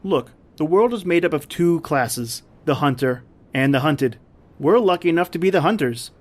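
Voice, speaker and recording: A man's voice: a little high, lively intonation, normal speed. A man acting a confident, dismissive big-game hunter who narrates his ordeal in an old-time radio drama.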